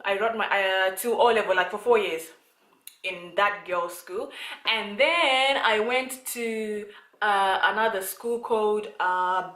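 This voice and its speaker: A young woman from Zimbabwe talks casually to her viewers in a medium-pitched voice, meandering through her thoughts with frequent fillers and false starts. The room around her is noticeably echoey. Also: a bit bright. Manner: chatty, rambling with many fillers and restarts. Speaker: vlogger. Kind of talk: casual talk to camera. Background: child talking in the background.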